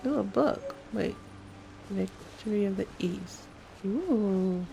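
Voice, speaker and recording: A woman's voice: medium pitch, expressive, takes it slowly, gravelly, dark tone, faint music behind, low-quality recording. A woman giving casual commentary as she plays a video game. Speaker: game streamer.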